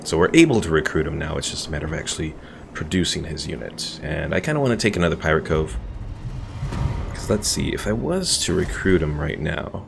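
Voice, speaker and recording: A man's voice: a bass voice, animated, fairly quick, vocal fry, background noise. A man streaming a strategy game with casual live commentary, thinking aloud and reading chat.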